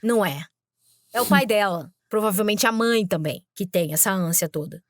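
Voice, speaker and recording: A woman hosting a podcast talks over video clips she plays, sarcastic and emphatic in her commentary. Her voice sits at a middle pitch for a woman. The recording is close and completely dry, with no room sound at all. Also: normal speed, no echo. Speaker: podcast host.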